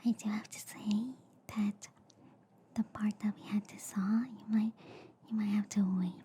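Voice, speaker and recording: A woman whispering softly and soothingly in an ASMR roleplay as a gentle, reassuring alien doctor, close to the microphone. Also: mid-range voice, flat delivery, normal speed, a little hiss or hum. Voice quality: soft, breathy whisper.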